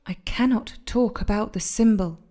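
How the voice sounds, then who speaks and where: Woman, confused yet eerily composed, evasive, measured, mellow delivery, audio drama female actor. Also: mid-range voice, lightly acted. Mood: guarded. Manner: measured, guarded, detached. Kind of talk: naturalistic audio drama acting.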